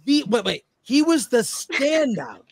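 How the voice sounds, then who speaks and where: Emphatic high-pitched man hosting a debate. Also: very animated, a little slow, vocal fry, breathy edge, no echo, studio quality. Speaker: host of a film debate show. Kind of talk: panel debate, casual.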